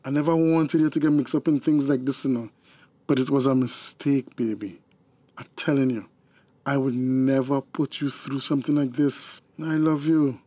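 An actor with a Tobagonian accent playing a pleading, sweet-talking crooked young boyfriend in a radio drama. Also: male voice, medium pitch, fairly even intonation, moderate pace, warm tone, no room sound, lightly acted.

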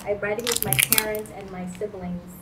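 A woman in her forties from Guyana, telling her story in an interview in a lively, animated way. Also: mid-range voice, a little fast, very noisy. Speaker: interview guest. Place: indoors against a pale wall. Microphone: lapel mic.

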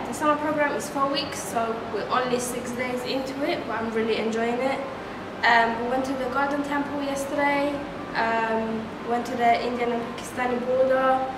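A young woman student from Poland chatting on camera about her summer program, with frequent um fillers. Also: a little high. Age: young adult. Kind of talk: on-camera testimonial. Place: art studio indoors. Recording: echoey hall, distant mic, noisy.

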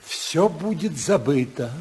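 Domineering older tycoon, high swooping voice, self-righteous, oratorical, vintage radio drama. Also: male voice, animated, darkish tone. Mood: solemn, self-righteous. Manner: imperious, oratorical, slow and emphatic. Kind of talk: 1950s radio drama acting.

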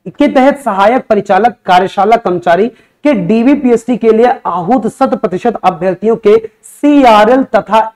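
A man's voice: high-pitched, a little mellow. A man, talking earnestly and conversationally to students as a coaching teacher, often posing rhetorical questions. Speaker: exam-coaching teacher and presenter. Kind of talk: explanatory talk to students. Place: indoor coaching studio in front of a digital board. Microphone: lapel mic.

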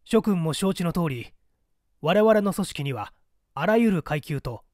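A stern male underground agent acted out by a male reader issues formal, clipped orders in an audiobook drama. His voice is fairly high and moves widely in pitch, with an imperious, authoritarian edge. The sound is close and dry. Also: animated, a little slow, a little mellow, no echo. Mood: formal, commanding. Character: stern, commanding male secret-party courier in a mask.

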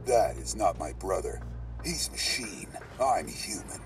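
A man's voice: on the low side, lively intonation, a little slow, music in the background. A man playing a cocky masked fighter in a video game, with a casual, sardonic manner. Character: cocky, brash masked American street fighter.

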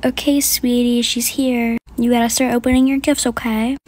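Woman as fame-hungry mom: high, pushy, scolding. Homemade skit. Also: flat delivery, moderate pace, darkish tone, no room sound, natural voice. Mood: coaxing. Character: fame-hungry mother, pushy and self-centered.

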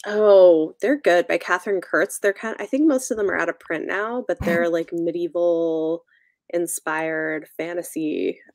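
A young woman in casual book chat on a livestream, informal and halting as she thinks aloud. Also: lowish voice, steady tone, darkish tone, no room sound, clean studio sound. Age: young adult. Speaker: book discussion co-host. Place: cosy room with bookshelves at home, indoors. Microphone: desk microphone on a video call.

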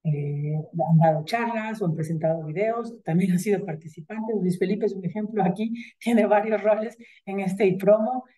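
A middle-aged woman giving closing remarks on a video call, measured and hesitant, with many fillers. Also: medium pitch, dark tone, poor audio. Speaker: institutional representative. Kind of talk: closing remarks at an online event. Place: indoors with virtual background.

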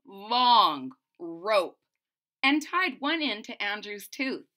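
A woman's voice: mid-range voice, big pitch swings, very slow, bright, forceful tone. A woman of about thirty to forty reads a children's story aloud expressively, with chatty asides to young listeners, at home. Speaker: storybook reader. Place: small furnished room at home, indoors.

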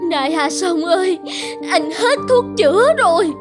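An actress playing a long-suffering, anxious young wife and mother in an animated folk tale, plaintive in manner. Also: very high-pitched, a little slow. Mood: exasperated, tearful. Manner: plaintive, lamenting. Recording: music bed under the voice.

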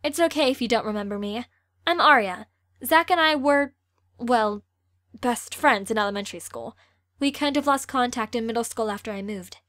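A woman's voice: a little high, normal speed, a bit bright, no room sound, close to an everyday voice. A woman playing a friendly, chatty, teasing teenage girl in an amateur audio drama.

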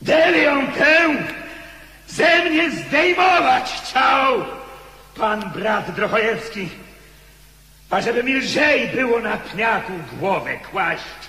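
A man's voice: extremely high pitch, expressive, very slow, sharp tone, full character voice. A man playing the bitter ghost of a beheaded Polish nobleman in a radio drama, declamatory, anguished and accusing. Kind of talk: theatrical radio drama acting. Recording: echoey hall with long reverb.

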